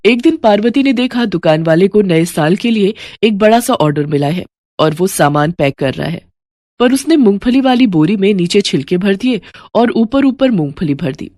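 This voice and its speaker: A woman's voice: mid-range voice, animated, moderate pace, darkish tone, no echo. A woman reading a scripted moral story as its narrator. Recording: music bed behind the voice.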